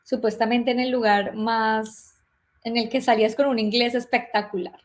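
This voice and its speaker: Guest, young Colombian woman, slightly high voice, chatty storyteller who acts out conversations. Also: moderate pace. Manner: lively storytelling, plays out remembered dialogue. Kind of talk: casual interview talk.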